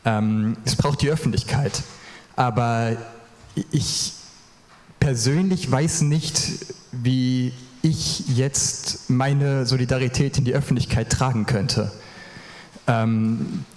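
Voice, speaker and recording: Hesitant man slowly asking a question. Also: mid-range voice, steady tone, darkish tone. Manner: hesitant, searching for words. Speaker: audience member. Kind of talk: question from the audience. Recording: echoey hall.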